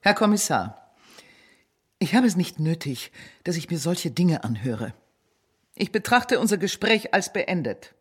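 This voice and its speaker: A proud, guarded professor's wife in a radio play. Her voice is low and husky with lively swings of pitch, haughty and evasive. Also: measured pace, heavily acted. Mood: indignant. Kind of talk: radio drama dialogue.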